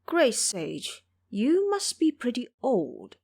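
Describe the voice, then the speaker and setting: A woman's voice: on the high side, wildly swooping pitch, slow, creaky, a little mellow, breathy edge, pitched above the performer's own voice, full character voice. A woman voicing a kindly, very old village man with a deferential, wondering manner in an audio drama. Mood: respectful.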